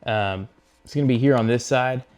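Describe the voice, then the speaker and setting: A man's voice: mid-range voice, a little mellow, smooth. A man narrating a hands-on install tutorial, talking casually and without hurry through each step as he works. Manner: unhurried, talks through each step while working with his hands. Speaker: host of a motorcycle gear install video.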